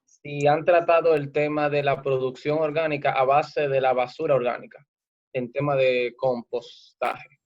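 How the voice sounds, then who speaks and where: A man's voice: medium pitch, little pitch movement, measured pace. A young man from the Dominican Republic, politely asking a question at a webinar. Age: young adult. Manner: polite and grateful. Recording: muffled online call.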